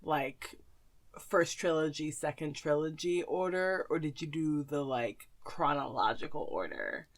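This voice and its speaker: A woman's voice: low voice, measured pace. A young woman co-hosting a podcast in casual conversation, speaking emphatically and opinionatedly with casual swearing. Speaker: podcast co-host.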